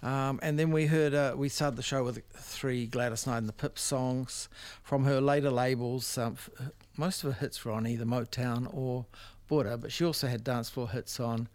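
An older man with a New Zealand accent, casually hosting a music show in a halting, rambling, unscripted way. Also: mid-range voice, fairly even intonation, a little mellow, smooth, no echo. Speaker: radio music show host. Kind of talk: casual unscripted radio back-announcing. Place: dry radio studio.

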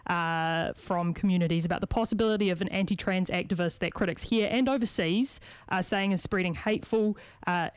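A woman asking radio interview questions, earnest and a little halting, while losing her voice. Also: mid-range voice, no room sound.

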